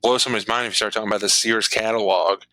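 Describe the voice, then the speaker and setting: Bright, crisp low-pitched man. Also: fairly even intonation, very fast, dry recording. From Chicago and southwestern Virginia. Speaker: interview guest. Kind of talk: casual storytelling in an interview.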